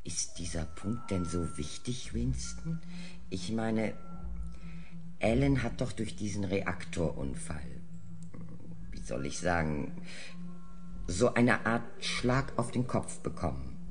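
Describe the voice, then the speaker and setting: An actor playing a formal, impatient male chairman of an inquiry in an audio drama, measured, firm and official. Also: sits low, expressive, background noise. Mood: tentative. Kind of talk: German audio drama acting.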